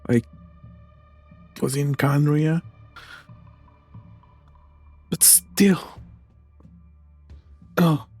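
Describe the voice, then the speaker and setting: A man's voice: fairly high pitch, steady tone, extremely slow, long pauses, a little mellow, slightly rough, faint music behind. A man giving casual commentary while playing a video game. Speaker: gamer reacting to a game.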